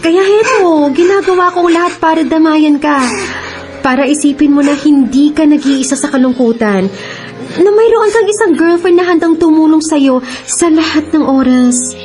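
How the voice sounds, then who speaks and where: A woman's voice: sits high, lively intonation, moderate pace, darkish tone, soft background music. An actress playing a devoted young girlfriend, tender and soothing, with a Filipino accent in a radio drama. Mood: consoling. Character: devoted, caring young girlfriend consoling her boyfriend.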